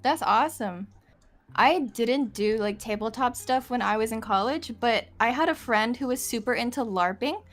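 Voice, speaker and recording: A woman with a slightly high voice talks as a guest on a casual chat show. She is giggly, blunt and teasing, and faint music plays behind her. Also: moderate pace. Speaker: guest streamer.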